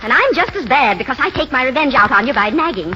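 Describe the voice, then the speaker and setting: A restless, discontented society wife, high-pitched and swooping. It is excitable 1940s radio drama on a noisy old recording. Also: female voice, fast talker, highs cut off. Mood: bitter, confiding. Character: discontented, thrill-hungry rich society wife.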